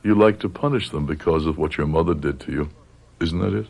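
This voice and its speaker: An actor playing a grave middle-aged doctor in a radio drama, speaking in a measured, probing, controlled manner. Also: male voice, rumbling low, creaky, a little mellow, heavily acted. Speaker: doctor, narrator. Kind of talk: radio drama acting with narration.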